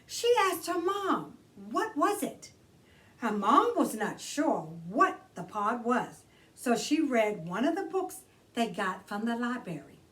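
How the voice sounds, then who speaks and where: A woman hosting a children's storytime, reading aloud expressively and deliberately, drawing out key words for emphasis. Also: fairly high pitch, very animated, fairly bright tone, recorded from a distance. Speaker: children's storytime host and reader.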